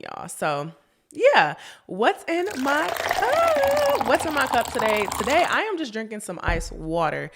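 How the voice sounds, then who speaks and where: A woman's voice: medium pitch, pushed and bright. A young woman hosting her own podcast in a chatty, casual way, often laughing and talking straight to her listeners while sniffling from a cold. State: sniffly, getting over a cold. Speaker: podcast host. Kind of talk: casual chat.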